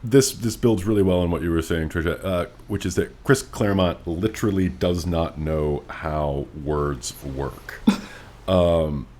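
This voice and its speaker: A man's voice: low-pitched, measured pace, dark tone. A man co-hosting a podcast in a casual book discussion, opinionated and wordy, with theatrical emphasis. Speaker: podcast co-host. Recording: noticeable background noise.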